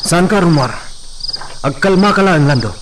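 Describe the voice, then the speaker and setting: A man's voice: a little high, lively intonation, normal speed, distant mic, background noise, close to an everyday voice. A man with an Ilocano accent playing an older-brother figure in an audio drama. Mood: urgent, calming. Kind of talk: Ilocano radio-style love drama acting. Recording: music under the voice.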